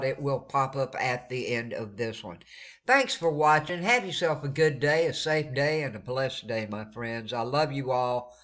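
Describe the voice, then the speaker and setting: Guy with a stuffed up nose doing a weather update, bright kinda high voice, keeps saying stay safe. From eastern North Carolina. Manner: friendly, repeats words for emphasis, warns listeners to stay safe. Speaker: weather vlogger. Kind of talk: casual weather forecast over maps.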